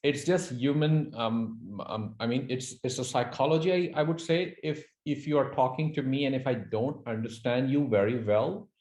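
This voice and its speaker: A Pakistani man with a mid-range voice hosts the conversation in Pakistani-accented English. His first language is Urdu, and he pauses and fills often as he searches for words. The room around him sounds roomy and reflective. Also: medium pitch, darkish tone. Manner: hesitant, searching for words with many fillers. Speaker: host. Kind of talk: casual business interview. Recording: echoey room.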